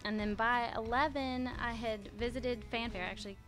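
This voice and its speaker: A woman's voice: a little high, steady tone, a little fast. Chatty woman with music behind. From Texas. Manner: chatty, storytelling, laughs often. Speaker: country singer. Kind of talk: casual interview chat. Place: festival backstage area. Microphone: handheld interviewer mic.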